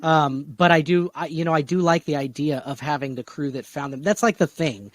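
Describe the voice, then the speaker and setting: A man casually brainstorming on a discussion podcast, talking in a halting way with vague, trailing asides. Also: a little high, no room sound. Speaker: podcast co-host pitching story ideas.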